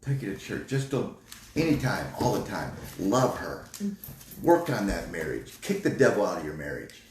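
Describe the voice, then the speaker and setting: A very deep-voiced man adds terse, preacher-style exhortations, his pitch rising and falling widely. The recording carries a roomy echo. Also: sing-song, moderate pace, slight background noise. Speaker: ministry co-host. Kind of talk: short preaching interjections. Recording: echoey room.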